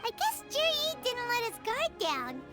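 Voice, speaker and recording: A woman's voice: wildly swooping pitch, a little slow, exaggerated voice. A woman voicing a small, curious, childlike fairy companion in an anime game, with game music behind her. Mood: puzzled. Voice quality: squeaky, childlike.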